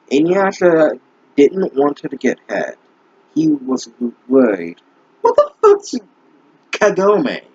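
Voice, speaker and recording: Brief: young man, husky, slow, sarcastic and swearing, dull lo-fi close mic. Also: on the high side, no room sound. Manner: sarcastic, exasperated, swears often. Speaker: fanfic reader and commentator. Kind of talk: mocking commentary on a bad story.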